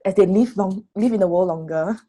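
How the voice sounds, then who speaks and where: A woman's voice: mid-range voice, fairly quick, dark tone. A woman of twenty-three with a Singaporean accent, giving her views articulately in a group discussion. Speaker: first-time voter on a discussion panel.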